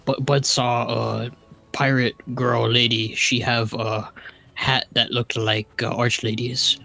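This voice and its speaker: A man's voice: medium pitch, flat delivery, slow pace, creaky, bright, forceful tone, heavily acted. A man voicing a simple-minded, earnest adventurer in a tabletop roleplay, speaking in broken grammar and calling himself by name.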